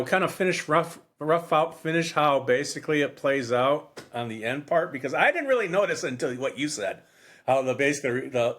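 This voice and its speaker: A man's voice: mid-range voice, a bit bright. Rambling man in casual book chat. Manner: rambling, repeats and restarts phrases. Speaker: podcast co-host.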